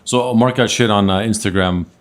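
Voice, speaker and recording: A man hosting a casual podcast, keeping the talk moving with questions and steady mm-hmms. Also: fairly low pitch, normal speed. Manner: steady interviewer, lots of mm-hmms. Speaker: podcast host.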